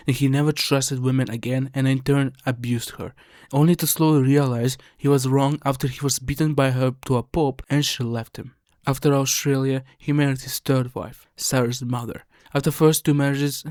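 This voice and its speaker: A man's voice: mid-range voice, darkish tone, dry recording, clean studio sound. A man reading scripted video essay narration in an earnest, somber, explanatory way. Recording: music under the voice.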